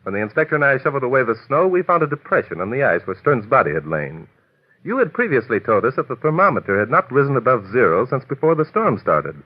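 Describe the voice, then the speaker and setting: Suave amateur sleuth, articulate, theatrical, lively intonation, dull old radio sound. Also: male voice, medium pitch, fast talker, breathy edge. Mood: composed explaining. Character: suave, assured male amateur detective explaining crimes. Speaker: detective and story narrator. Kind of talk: old-time radio drama acting. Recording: muffled vintage radio recording.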